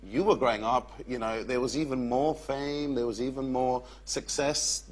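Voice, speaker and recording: A man's voice: mid-range voice, fairly even intonation, normal speed, poor audio. A man, putting chatty questions as host of a live on-stage interview. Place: theatre stage before an audience.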